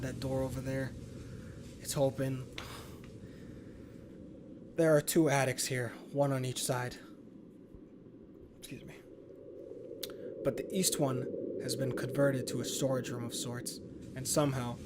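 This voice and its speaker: A man's voice: medium pitch, a little hiss or hum. A man reading a horror visual novel aloud as a let's player, dramatic in narration and casual in his reactions.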